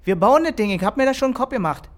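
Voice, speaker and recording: An actor playing a brash, scheming, irritable village man in a naturalistic German radio drama, talking gruff, colloquial and bossy. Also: very high-pitched, expressive, racing, very noisy. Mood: scheming, insistent.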